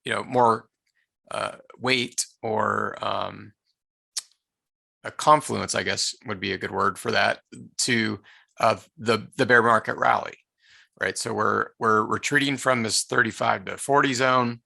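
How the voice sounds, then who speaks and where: A man with a hoarse, deeply gravelly voice talks through market charts in a live trading webinar. His tone is bright and forceful, and the sound is close and dry. He speaks in a halting way, full of "uh"s and restarts. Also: fairly even intonation, no room sound. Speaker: trading educator presenting a live market session.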